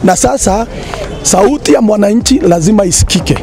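High-pitched Kenyan man at a street protest. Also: lively intonation, normal speed, dark tone, soft background music. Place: outdoor street protest in a crowd. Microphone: handheld mic held up to him.

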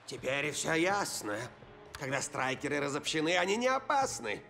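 An actor voicing a scheming, gleeful old villain scientist in a dubbed cartoon, with theatrical delivery. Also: male voice, on the high side, big pitch swings, normal speed, pushed and bright, faint music behind, heavily acted. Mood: plotting. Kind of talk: Russian cartoon dub villain.

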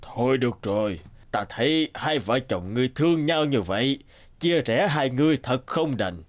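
A man voicing a stern but merciful lord of the underworld in a fairy-tale cartoon, slow and commanding. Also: medium pitch, expressive, close to an everyday voice. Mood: solemn, merciful. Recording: music under the voice.

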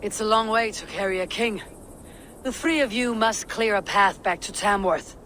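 A woman playing a stern, composed Viking warrior leader in a video game, speaking in a measured, firm way. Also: mid-range voice, pushed and bright, a little hiss or hum, close to an everyday voice. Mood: businesslike. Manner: measured, firm, coolly menacing. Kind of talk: video game dramatic acting.

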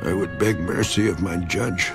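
Job, anguished and gravelly. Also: male voice, normal speed. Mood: lamenting. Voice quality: deep gravelly voice. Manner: anguished, swinging from hushed to crying out. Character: suffering righteous man pleading with God. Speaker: voice actor. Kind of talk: dramatized scripture reading. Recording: with music underneath.

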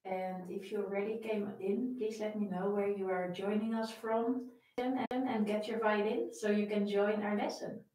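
A woman's voice: medium pitch, fairly even intonation, normal speed, warm tone, far from the mic. Dutch-accented woman in a roomy space. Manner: friendly, greeting viewers by name, chatty. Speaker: violin teacher. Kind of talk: live-stream lesson chat. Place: home room with framed pictures, indoors.

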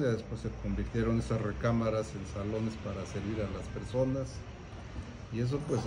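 A man in his sixties with a Mexican accent, recounting family business history as an interviewed restaurant owner. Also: fairly low pitch. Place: restaurant dining room, indoors. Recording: clearly noisy restaurant room background.

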